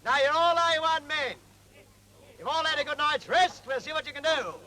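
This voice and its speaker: An actor playing a strict British army corporal drilling new recruits with clipped commands, in a 1940s film. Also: male voice, very high voice, moderate pace, pushed and bright, full character voice.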